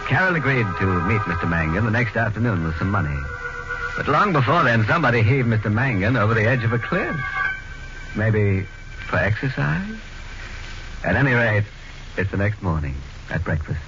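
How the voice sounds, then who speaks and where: Macabre mystery host, lowish voice, sardonic and lively, vintage radio narration over prominent music. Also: male voice, moderate pace, muffled recording. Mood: wry, ghoulish. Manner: sardonic, darkly jocular. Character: wry, macabre male mystery show host. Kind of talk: 1940s radio horror narration.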